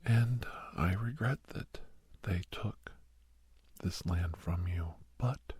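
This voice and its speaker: A man with an American accent playing a kindly, gentle doctor who counsels a young werewolf in a breathy, whispered ASMR roleplay. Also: low voice, no room sound, full character voice. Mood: reflective, confiding. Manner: gentle, reflective, slow and halting.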